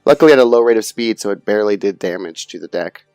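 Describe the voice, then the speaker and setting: A man's voice: on the low side, lively intonation, quick pace, darkish tone, clean tone, no echo, studio quality, soft background music. A man co-hosting a podcast, telling a story in a casual, laid-back way with fillers and swearing. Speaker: podcast co-host. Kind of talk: casual chat.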